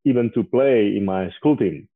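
A man in his forties from Spain, an English speaker who pauses often with "uh" as an interview guest. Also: on the low side, husky. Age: 40s. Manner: hesitant, frequent "uh" fillers. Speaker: guest, investor. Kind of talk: casual interview. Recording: tinny phone-like line.

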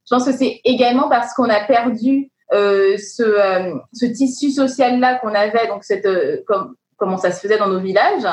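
A woman hosting an interview, asking questions slowly, with many pauses and fillers as she searches for her words. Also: medium pitch, darkish tone. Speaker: interview host. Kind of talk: conversational interview. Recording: roomy sound with faint music.

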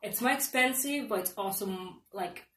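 A woman's voice: medium pitch, moderate pace, sharp tone. A young woman from Nigeria, an engineering student, answering interview questions in a loose, chatty way with fillers. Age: young adult. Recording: roomy echo, faint noise.

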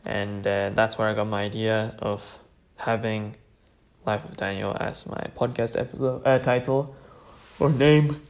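A man's voice: on the low side, steady tone, a little slow. A man in his late teens from Norway, tired late at night, rambling hesitantly as he tells his own story on a solo podcast. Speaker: podcast host.

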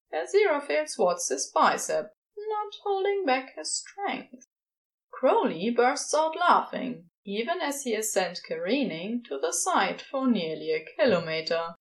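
A woman reading a story aloud as narrator, in a scripted fiction style. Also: on the high side. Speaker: podfic reader, narrator.

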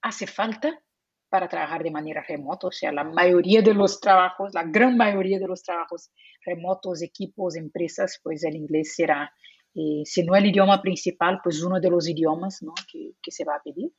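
Brazilian Portuguese-accented woman, lowish husky voice, dry muffled call audio, chatty explainer. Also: moderate pace, no room sound. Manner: explanatory, laughs easily, many fillers. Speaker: guest, remote work expert. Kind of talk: casual interview talk.

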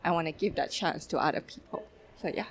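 A young woman from Thailand with a Thai accent, a film director answering interview questions in a halting, casual way with many fillers. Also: on the low side, a little hiss or hum.

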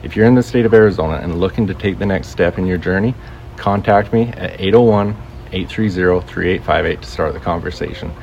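A man's voice: low voice, fairly quick, gravelly, darkish tone, highs cut off, a little hiss or hum. An American man, a podcast host, delivering a scripted promotional message addressed straight to listeners. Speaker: podcast host, coach, loan originator.

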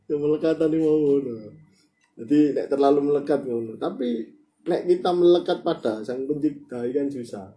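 A man's voice: a little high, fairly quick, mellow. A man with an East Javanese accent hosting a casual, playful chat, often repeating his phrases and slipping into regional slang. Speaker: podcast host.

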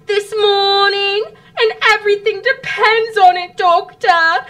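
A woman's voice: very high voice, fairly even intonation, takes it slowly, sharp tone, exaggerated voice. A woman playing an anxious, flustered society lady in a radio drama, pleading in an imploring, breathless, theatrical manner. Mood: tearful pleading.

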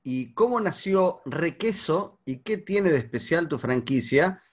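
A man with a Rioplatense Spanish accent hosting a business podcast interview, talking in an excited, emphatic way. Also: a little high, dull audio. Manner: excited, emphatic, rapid asides. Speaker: podcast host.

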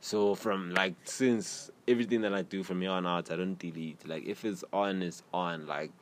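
Deep-voiced South African man chatting. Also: normal speed. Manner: relaxed, rambling, slang-heavy. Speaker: podcast host. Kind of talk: casual interview chat. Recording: faint background noise.

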